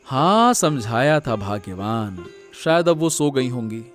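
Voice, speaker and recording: A man's voice: medium pitch, sing-song, measured pace, faint music behind. A man voicing an earnest, emphatic village samosa seller, a wronged and devoted son, in a Hindi moral-story cartoon. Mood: sorrowful.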